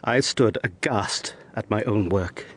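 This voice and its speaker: An actor playing an earnest young lawyer in a period audio drama, formal and dramatic. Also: male voice, fairly low pitch, slow. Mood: tense narrating. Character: earnest young eighteenth-century English lawyer.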